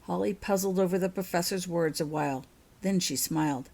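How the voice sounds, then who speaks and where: A woman's voice: on the low side, a little fast, gravelly, warm tone. A woman reading her own fiction aloud as an audiobook-style story narration.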